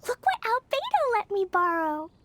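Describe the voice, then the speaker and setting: A woman voicing a cheerful, innocent little girl in a video game, with an excitable, childlike manner. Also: very high voice, moderate pace, exaggerated voice. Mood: excited, innocent. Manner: childlike, wide-eyed, excitable. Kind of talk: video game character acting.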